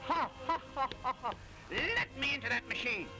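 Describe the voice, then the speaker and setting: A man voicing an excitable, exuberant cartoon film strip in a 1920s cartoon, brash and whooping. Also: very high-pitched, moderate pace, poor audio. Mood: gleeful, brash. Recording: old 1920s film soundtrack, music behind.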